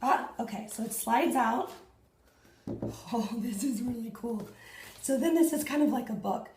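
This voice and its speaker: A woman in her thirties, hoarse and husky after losing her voice, chatting excitedly to viewers in a casual unboxing vlog at home. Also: fairly high pitch, unhurried, echoey room, noisy background. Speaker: fitness vlogger. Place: small indoor room at home.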